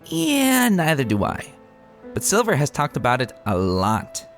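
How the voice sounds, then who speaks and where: A brash young pegasus mare, acted out by a man, chatty and teasing. The pitch is lifted and swoops around in a lively way. It plays as a storybook dramatic reading over music. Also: measured pace, full character voice. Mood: joking, animated. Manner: breezy, cheeky, chatty. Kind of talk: dramatic reading of fan fiction.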